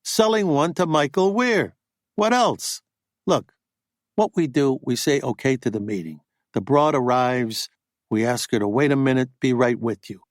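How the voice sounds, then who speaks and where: A man voicing a pushy, profane, fast-talking male Hollywood agent in an audiobook. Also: mid-range voice, lively intonation, dry recording, clean studio sound, natural voice. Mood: brash. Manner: pushy, profane, rapid-fire.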